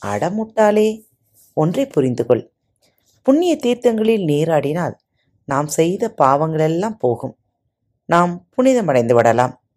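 A woman's voice: low-pitched, big pitch swings, unhurried, long pauses, a little mellow, exaggerated voice. A woman voicing a bossy wealthy male master who scolds his servant, in a storybook reading. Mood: commanding.

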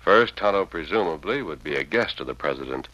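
Western hero on old radio show, super deep american voice, firm bright edge, measured n formal, muffled. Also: male voice, animated, heavily acted. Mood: assured, earnest. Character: grave, authoritative masked lawman hero of the West. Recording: dull, dry vintage radio recording.

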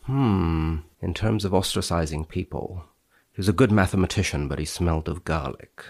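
A man's voice: low-pitched, normal speed, a little mellow. A man, a researcher appearing as a podcast guest, reading studies dramatically and chatting about them.

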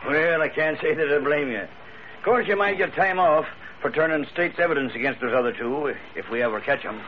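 An actor playing a brash, slangy, bossy police sergeant in a 1940s radio crime drama. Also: male voice, a little high, fairly quick, natural voice. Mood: matter-of-fact. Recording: muffled, very noisy old radio recording.

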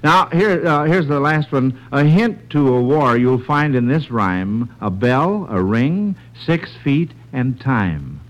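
A man's voice: mid-range voice, animated, takes it slowly, few pauses, poor audio. An American man hosting a 1940s radio quiz for a panel of children, with a folksy, encouraging manner. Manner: encouraging, folksy, repeats and affirms answers.

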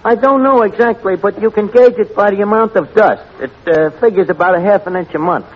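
A man's voice: high voice, fairly quick, natural voice. A man acting in an old radio comedy as a slangy, put-upon tavern manager with a New York accent who mangles his words. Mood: sarcastic. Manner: wisecracking, mangles words and grammar. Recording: muffled, noisy old radio broadcast.

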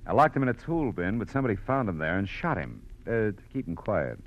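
An actor playing a tough, shrewd private detective in a classic radio drama, with a wry, clipped, slangy delivery. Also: male voice, on the low side, big pitch swings, fast. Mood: coolly confident. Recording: muffled noisy old radio recording.

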